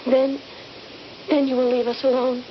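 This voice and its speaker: A woman's voice: high voice, flat delivery, normal speed, close to an everyday voice. An actress playing a frightened young woman held prisoner in a radio drama, hesitant and stammering. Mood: anxious, pleading. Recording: muffled, hissy 1970s radio recording.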